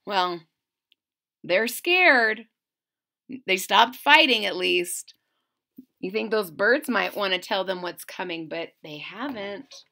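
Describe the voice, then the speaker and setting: A woman's voice: medium pitch, animated, takes it slowly, gravelly, long pauses, pushed and bright. A woman reading a children's story aloud expressively, with asides and questions to her listeners. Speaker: storybook reader.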